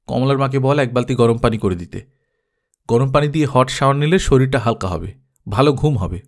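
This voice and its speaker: A man's voice: medium pitch, fairly quick, close to an everyday voice. A man playing a talkative, wistful middle-class elder brother in an audio story, rambling on matter-of-factly. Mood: defensive, puzzled.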